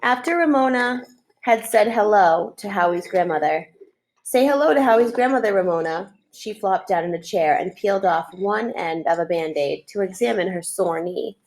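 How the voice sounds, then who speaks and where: A woman's voice: on the low side, moderate pace, low-quality recording. A middle-aged woman reading a children's chapter book aloud as a teacher would, recorded at a computer in a room at home. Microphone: computer mic at desk, webcam framing.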